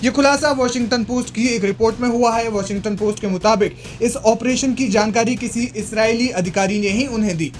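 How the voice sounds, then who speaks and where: A man's voice: high voice, a little hiss or hum. A man presenting scripted news narration in an emphatic, explanatory way. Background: background music.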